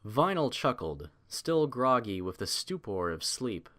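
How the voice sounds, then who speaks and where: A man narrating a scripted audiobook in a theatrical, measured storytelling manner. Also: medium pitch, big pitch swings, a bit bright.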